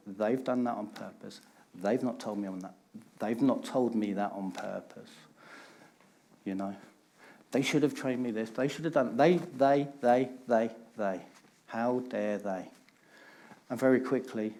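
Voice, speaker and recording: A man's voice: lowish voice, dark tone. Candid man telling his story. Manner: candid, emphatic storytelling with repeated phrases. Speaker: conference speaker. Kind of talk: personal recovery talk.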